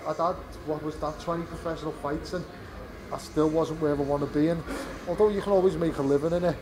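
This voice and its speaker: A man's voice: fairly high pitch, steady tone, fast. A British man in his twenties, a fighter talking candidly after a bout, rambling with many fillers and restarts. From United Kingdom. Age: 20s. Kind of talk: post-fight press interview. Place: fight venue media area. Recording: crowd noise behind. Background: crowd chatter.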